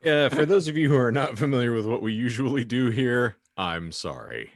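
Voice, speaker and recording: A man's voice: medium pitch, animated, moderate pace, no echo. A man co-hosting a podcast with casual comedic banter, speaking in florid, theatrical, wordy phrases. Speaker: podcast co-host.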